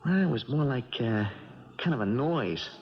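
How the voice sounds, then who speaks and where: A man's voice: medium pitch, expressive, moderate pace, lightly acted. An actor playing a sleepless, frightened young male boxer in a 1970s radio drama, stammering and groping for words. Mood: uneasy, groping. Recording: dull 1970s radio recording.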